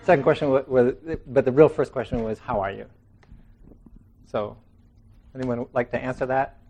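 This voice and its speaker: A man leading a group discussion in a meeting room. He talks in a relaxed way, laughing often and restarting his sentences. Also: medium pitch, normal speed. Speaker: meditation group leader.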